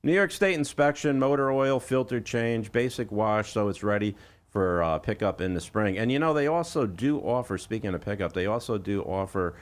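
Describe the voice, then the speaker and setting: Guy with a lowish voice hosting radio, chatty salesman style, punching key words. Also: expressive, moderate pace. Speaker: radio show host. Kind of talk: radio hosting and live ad reading.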